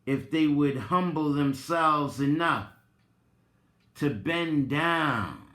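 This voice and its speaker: A man hosting a dramatic reading and reflective commentary, speaking in a deliberate, emphatic way with pointed emphasis. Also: on the high side, sharp tone. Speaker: host giving a reading and commentary. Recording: roomy, echoing sound.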